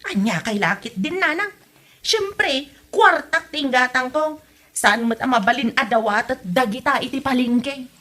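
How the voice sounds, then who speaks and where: A woman plays a feisty Ilocano village wife in a comedy drama podcast, dodging and retorting. Her voice is high and bright, with very lively swoops in intonation. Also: measured pace, heavily acted. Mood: defensive retorts.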